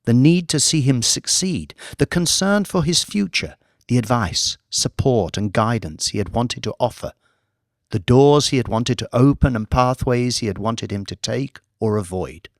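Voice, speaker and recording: A man's voice: medium pitch, expressive, moderate pace, dry recording. A man reading dramatic scripted audiobook narration into a close mic.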